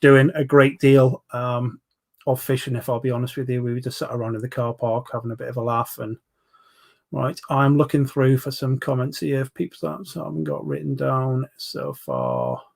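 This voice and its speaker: Man, 40s, London accent, rambling live-stream chat, filler-heavy, mid pitch. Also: moderate pace, gravelly. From England. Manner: chatty, rambling, full of ums, banters with viewers. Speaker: livestream host reviewing vape juices. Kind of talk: casual live chat reading viewer comments.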